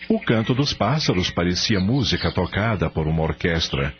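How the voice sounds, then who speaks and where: A man's voice: sits low, animated, moderate pace. A man with a Brazilian accent narrating a scripted radio drama in a storytelling style. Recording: dull lo-fi radio, clearly noisy.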